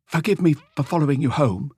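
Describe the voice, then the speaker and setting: A man's voice: a little high, fairly quick, a little mellow, slightly rough, no echo, clean studio sound, voice pushed up. A man voicing a timid, humble, nervous tradesman in an audiobook, hesitant and apologetic in the way he talks. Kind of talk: audiobook character dialogue.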